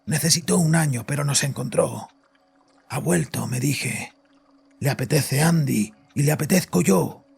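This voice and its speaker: An actor playing a guilt-ridden, frightened father confessing to his psychiatrist in a horror audio drama, agitated and halting. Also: on the high side, gravelly, darkish tone, husky, heavily acted. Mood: brooding dread. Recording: voice over background music.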